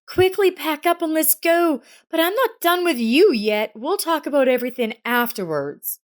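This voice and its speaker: A woman's voice: sits high, lively intonation, normal speed, pitched above the performer's own voice. A woman voicing a concerned, decisive wife in a narrated family drama story. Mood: urgent.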